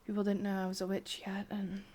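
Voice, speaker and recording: A woman with an English accent voicing a sadistic, lovesick witch in a whispered, intimate ASMR roleplay, murmuring slowly and haltingly. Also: mid-range voice, monotone, a little mellow, lightly acted. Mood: wistful, melancholy. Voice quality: breathy, whispery. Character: sadistic, lovesick young witch, wistful and possessive.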